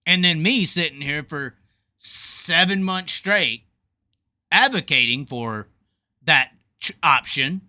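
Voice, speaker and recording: A man's voice: on the high side, slow. A man hosting a sprint car racing call-in show, talking in a fired-up, repetitive, emphatic way. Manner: animated, repeats himself, fired up and emphatic. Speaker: podcast host. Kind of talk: casual opinionated call-in chat.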